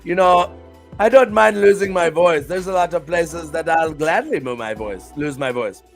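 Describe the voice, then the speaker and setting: A man's voice: fairly high pitch, normal speed, vocal fry, music in the background. A man hosting a live stream, talking in a hammy, playful, exclamatory way. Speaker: streamer and show host. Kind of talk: casual live-stream chat.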